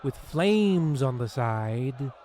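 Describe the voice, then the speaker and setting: A man's voice: medium pitch, animated, very slow, mellow, slight background noise. Man playing a pompous, scheming radio show host. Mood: smug scheming. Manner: theatrical, grandiose. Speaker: host of a radio play series. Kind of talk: scripted old-time radio horror host parody.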